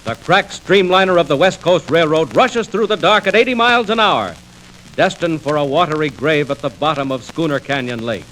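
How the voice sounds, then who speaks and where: A man's voice: a little high, lively intonation, moderate pace, fairly bright tone, poor audio. A man narrating a radio serial in an urgent, theatrical 1940s style. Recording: old radio record with hiss.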